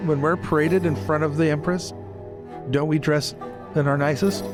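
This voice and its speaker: A man playing a proud, smooth-talking marine with a rhetorical, persuasive manner in a tabletop roleplaying game. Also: fairly high pitch, steady tone, moderate pace, music in the background, voice pushed up, natural voice.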